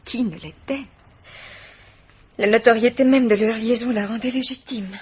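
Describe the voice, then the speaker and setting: An actress playing a guarded, anxious yet self-possessed young woman in a French radio mystery play, speaking gravely and formally. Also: fairly high pitch, moderate pace. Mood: anguished, reticent. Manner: grave, formal, hesitant but pointed.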